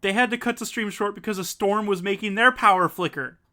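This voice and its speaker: Brief: easygoing host, sharp bright tone, home call mic. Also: medium pitch, fairly quick. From Pacific Northwest, USA. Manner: easygoing, laughs often. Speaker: host of the playthrough. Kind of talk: casual chat over a voice call.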